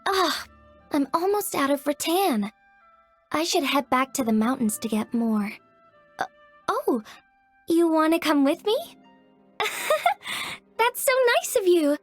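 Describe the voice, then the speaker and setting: This is a cheerful young female artisan from a video game. She sounds sweet and eager, chatting in a high, light voice. Soft music plays faintly underneath. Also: very animated, takes it slowly, pauses often, exaggerated voice. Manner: sweet, upbeat sales patter.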